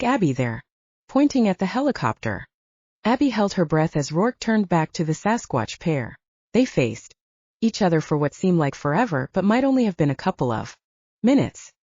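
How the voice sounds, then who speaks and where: A woman's voice: on the low side, warm tone, no room sound, highs cut off. A woman reading fiction aloud as a scripted audiobook narration.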